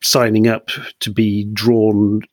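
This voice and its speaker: A middle-aged man from northern Norway, musing in a measured way in a casual conversation. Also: fairly low pitch, completely flat delivery, no room sound.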